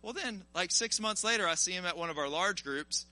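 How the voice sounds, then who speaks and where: Male preacher, early 40s, high forceful voice, colloquial and exhorting, informal live sermon. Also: fairly quick. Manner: animated, colloquial, exhorting the listeners. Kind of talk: informal sermon to a live congregation, with personal testimony.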